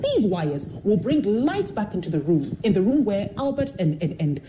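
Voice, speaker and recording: Lively, mellow-voiced woman telling stories. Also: mid-range voice, moderate pace, slight background noise. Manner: expressive, animated storyteller who acts out quoted voices. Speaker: television personality guest. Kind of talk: candid motivational interview talk.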